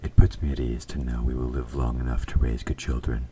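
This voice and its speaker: A man playing an evil, possessive king in an audio roleplay, speaking formally and with measured, quiet menace, his S sounds sharp. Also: extremely low pitch, flat delivery, slight background noise. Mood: smugly satisfied. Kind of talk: scripted audio roleplay, intimate dramatic monologue.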